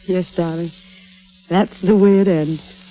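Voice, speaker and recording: A woman's voice: lowish voice, fairly even intonation, slow, mellow, slight background noise, low-quality recording. A woman playing an anxious, determined wife in a 1940s radio melodrama, speaking earnestly and dramatically. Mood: subdued. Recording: vintage 1940s radio recording.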